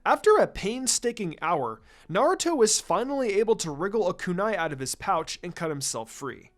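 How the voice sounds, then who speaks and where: Guy narrating fanfic, kinda high voice, really animated up and down delivery. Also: moderate pace, faint music behind. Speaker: fan fiction reader, narrator. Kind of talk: expressive scripted story narration.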